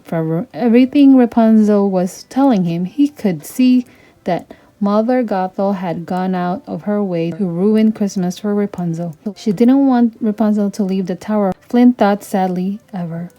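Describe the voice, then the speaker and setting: Female storytime reader, mid pitch, dark mellow tone, children's book narration. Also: a little slow, rough recording. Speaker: storytime read-aloud host.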